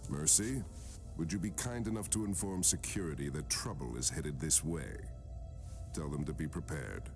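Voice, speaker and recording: A man's voice: rumbling low, moderate pace. An actor playing a suave, composed male tycoon villain in a video game, polite, cool and commanding. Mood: cool, unruffled. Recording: music behind the voice.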